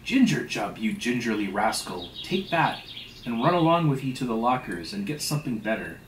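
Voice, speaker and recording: A man's voice: medium pitch, very animated, moderate pace, far from the mic, slight background noise, close to an everyday voice. A man doing an indignant, blustering whaling mate, echoey. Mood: blustering, scolding. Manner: emphatic rhetorical questions, mock-polite then scolding. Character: indignant, sarcastic male whaling second mate, suspicious and blustering. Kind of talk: dramatic reading of a classic sea novel.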